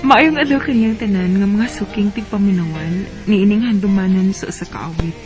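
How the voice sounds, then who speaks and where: Actress doing young filipina letter writer, cebuano accent, radio drama storytelling, sentimental, faint music under it. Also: female voice, mid-range voice, moderate pace, lightly acted. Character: young woman letter-writer narrating her own love story.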